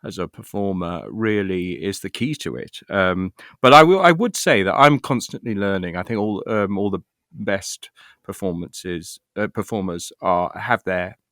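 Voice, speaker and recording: Middle-aged British male interviewee, lowish voice, thoughtful and halting delivery, recorded dry. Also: fairly bright tone, dry recording. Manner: hesitant, thoughtful, with repeated false starts. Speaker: interview guest, actor and writer. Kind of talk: reflective conversational interview.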